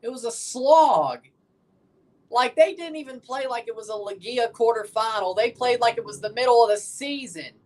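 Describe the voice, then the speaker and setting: A woman's voice: fairly high pitch, moderate pace, a little mellow. A woman hosting a livestream watchalong, giving casual live football commentary and chatting with viewers, often repeating and correcting herself.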